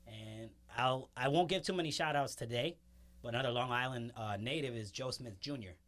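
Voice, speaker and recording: A man's voice: mid-range voice, fairly quick, a bit bright. A man from New York hosting an interview, asking friendly, encouraging questions in a conversational way. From born in New York, grew up in Western New York. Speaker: interview host.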